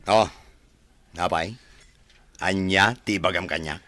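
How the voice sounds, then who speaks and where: A man's voice: deep voice, slow, pushed and bright, exaggerated voice. A man voicing a scheming, scornful young man in an audio drama who pays thugs to do his dirty work.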